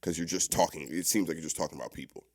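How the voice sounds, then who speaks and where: A man with a notably deep voice argues on a casual podcast panel. He is emphatic and insistent, circling back to repeat his points among friends. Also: racing, darkish tone.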